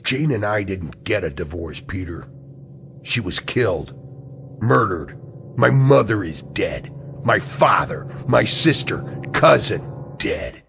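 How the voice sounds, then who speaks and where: An actor playing a grave, embittered conspirator in an old-style radio drama, speaking with ominous, insistent drama. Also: male voice, mid-range voice, vocal fry, a bit bright, exaggerated voice. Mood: bitter, grieving. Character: grave, embittered male conspirator hunting hidden creatures. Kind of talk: 1940s radio drama acting.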